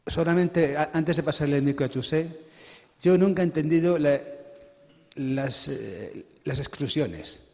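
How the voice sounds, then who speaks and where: A man's voice: fairly high pitch, measured pace, vocal fry. A man speaking off the cuff as a panelist at a public conference. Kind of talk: spontaneous public panel talk. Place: conference hall, amplified event. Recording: muffled, reverberant hall recording.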